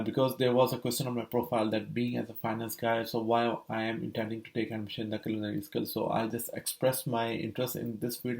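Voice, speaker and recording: A man's voice: lowish voice, gravelly, darkish tone, rough recording. A young man, casually explaining his study permit process, rambling with many fillers and restarts. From Saudi Arabia. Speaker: vlogger sharing his study permit experience.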